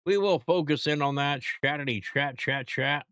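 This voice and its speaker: A man's voice: fairly high pitch, moderate pace, fairly bright tone, dry recording, studio quality. A man hosting a casual live stream, rambling and bantering, constantly addressing his chat with playful hype.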